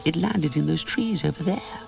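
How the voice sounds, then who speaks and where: A man voicing a kind young girl from a nursery rhyme, gently helpful, in a raised voice. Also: fairly high pitch, normal speed, vocal fry, over music, voice pushed up. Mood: friendly.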